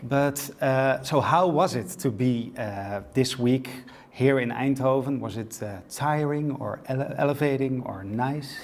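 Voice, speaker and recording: A man's voice: medium pitch, unhurried, few pauses. A man hosting a podcast interview, eager and casual, often restarting and repeating words. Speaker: podcast host. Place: design exhibition venue.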